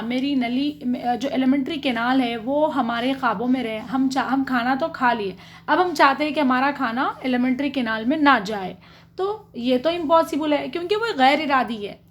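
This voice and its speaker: High-voiced woman teaching with self-posed questions. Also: fairly bright tone. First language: Urdu. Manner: asks questions then answers them, frequent "theek hai". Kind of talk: explanatory science lesson.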